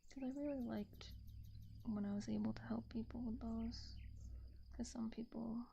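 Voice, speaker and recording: A woman whispering softly and gently in a breathy ASMR style, murmuring absent-mindedly to herself close to the microphone as she streams. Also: fairly high pitch, steady tone. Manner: gentle, unhurried, absent-minded self-talk. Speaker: streamer, digital artist. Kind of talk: whispered ASMR, casual art stream muttering. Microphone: close binaural ASMR microphone. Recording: dull muffled sound with faint background noise.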